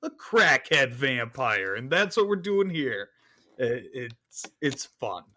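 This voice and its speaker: A man's voice: medium pitch, sing-song, slow, bright, forceful tone. A man chatting casually and giving his opinions as a guest on a film podcast.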